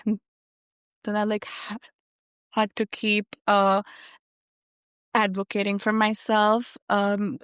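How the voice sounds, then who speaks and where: A young adult woman who stutters, speaking haltingly with many fillers as an interview guest. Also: medium pitch, monotone, a bit bright, clear, resonant tone, dry recording. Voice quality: stutter with repeated sounds and blocks. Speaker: guest, software engineer. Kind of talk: conversational interview.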